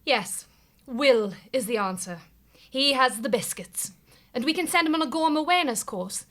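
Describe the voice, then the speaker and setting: An actress playing a melodramatic, bossy and overwrought office manager in a scripted audio comedy. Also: female voice, sits high, normal speed. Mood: bossy, decisive. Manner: theatrical, swings from panic to forced calm.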